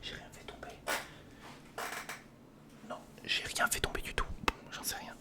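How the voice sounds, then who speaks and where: An ASMR creator whispering informal, step-by-step commentary to the viewer while building something by hand, close to the microphone. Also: measured pace. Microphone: close recorder or studio microphone.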